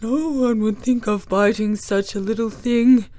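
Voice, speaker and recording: A woman voicing a timid, tearful male lion in a storybook reading, her voice raised and louder than her narration. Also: fairly high pitch, fairly even intonation, unhurried, pitched above the performer's own voice, close to an everyday voice. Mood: sad, plaintive. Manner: sorrowful, plaintive storybook voice.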